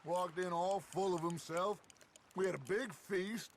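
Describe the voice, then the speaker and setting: An actor playing a confident, genial middle-aged gang leader in a western game, theatrical and teasing. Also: male voice, sits high, steady tone, unhurried. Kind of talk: cinematic western game acting. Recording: clear outdoor ambience noise behind.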